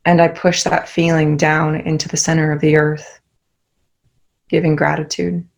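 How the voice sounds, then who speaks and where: A young woman leading a guided meditation, giving soft, soothing instructions. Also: low voice, level tone, moderate pace, creaky.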